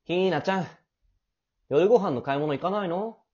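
A woman playing a clingy, teasing, possessive teenage boy in love, with a husky, breathy, languid voice, in an amateur romantic audio drama. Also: lowish voice, normal speed, a little mellow. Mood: casual. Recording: dull, lo-fi home recording.

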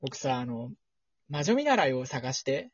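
A man's voice: mid-range voice, big pitch swings, unhurried, muffled recording. A man co-hosting a podcast in casual chat, talking with the gushing enthusiasm of a fan. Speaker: podcast co-host.

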